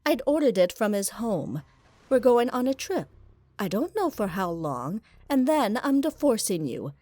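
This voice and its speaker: A woman's voice: fairly high pitch, big pitch swings, measured pace, mellow, dry recording. A woman playing a cold, cruel pony wife in an audio drama, speaking with contempt and dripping disappointment. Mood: bitter contempt.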